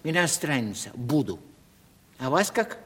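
Touchy, cheeky comedian with husky voice. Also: male voice, a little high, big pitch swings, a little slow, a bit bright, heavily acted. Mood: cheeky, mocking. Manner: argumentative, mocking, wounded pride. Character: touchy, cheeky shabby comic actor forced to play lackey. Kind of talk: Russian classical stage comedy, radio play. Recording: reverberant 1970s radio drama recording.

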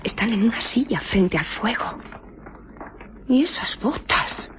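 An actress playing a nosy, chatty, easily flustered village innkeeper in a radio drama, muttering exclamatory asides to herself. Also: female voice, fairly high pitch, animated, takes it slowly, a bit bright, slight background noise.

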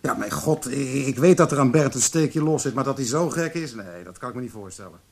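An actor playing a weary, sardonic grown-up son in a Dutch radio play, talking casually with exasperation. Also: male voice, medium pitch, lively intonation, fairly quick, slightly rough. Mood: fed up.

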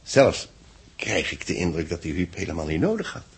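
A measured, thoughtful male chronicler with a deep, creaky and very husky voice. He speaks deliberately, in the style of a 1960s radio serial on an old recording. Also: sing-song, full character voice. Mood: musing. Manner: musing, deliberate. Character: thoughtful, measured man reviewing and narrating a space expedition. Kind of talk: 1960s radio drama acting.

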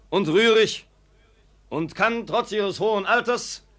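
An actor in a German radio play playing a middle-aged village farmer, hearty and calculating, with a deliberate manner. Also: male voice, a little high, bright, forceful tone, full character voice.

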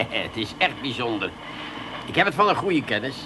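A man's voice: medium pitch, big pitch swings, moderate pace, close to an everyday voice, poor audio. An actor playing a flustered, defensive Amsterdam man in a comic radio play, often hesitant. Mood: defensive, proud. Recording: clear outdoor traffic noise behind.

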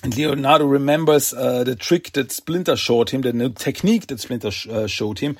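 A man's voice: mid-range voice, moderate pace, breathy edge, no room sound, clean studio sound. A man with a slight French accent hosting a casual comic recap podcast, rambling with fillers and restarts and acting out quotes. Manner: chatty, many fillers and restarts, acts out quotes. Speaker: podcast host.

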